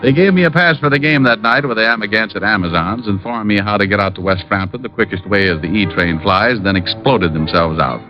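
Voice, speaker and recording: An actor playing a glib, sardonic, cocky private eye in 1940s radio acting style. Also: male voice, fairly low pitch, fairly quick, hardly any pauses, over music, natural voice. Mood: wry narration. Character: wisecracking, flirtatious, cocky male private eye. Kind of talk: 1940s radio acting with first-person narration. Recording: muffled vintage radio recording.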